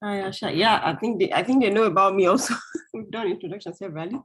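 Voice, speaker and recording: A woman's voice: medium pitch, fast talker, slightly rough. A woman who grew up in Greece, introducing herself casually and chattily over a video call, thinking aloud as she goes. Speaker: course participant.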